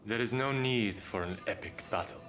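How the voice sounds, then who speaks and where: An actor playing a confident, calculating commander with cool authority in a game cutscene. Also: male voice, fairly low pitch, moderate pace, close to an everyday voice. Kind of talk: dramatic game cutscene dialogue. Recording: loud music under the voice.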